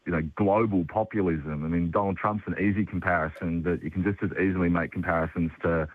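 A man's voice: deep voice, steady tone, quick pace, no room sound. A male political consultant giving analysis on talk radio, hesitant, with many fillers and restarts. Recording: tinny phone line.

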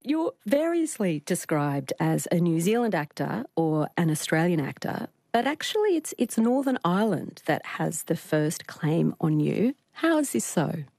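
A woman's voice: fairly low pitch, big pitch swings, unhurried, gravelly, few pauses, darkish tone, dry recording, studio quality. A woman hosting a radio interview programme, introducing and questioning her guest.

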